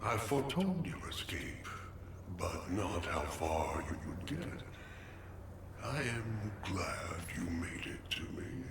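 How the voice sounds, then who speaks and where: Very deep, grave old time master. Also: male voice, sing-song, gravelly, heavily acted. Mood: calm, foreboding. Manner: measured, grave, oracular. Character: solemn old male time master, calm jailer. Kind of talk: scripted video game dialogue. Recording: echoing voice over noisy game ambience.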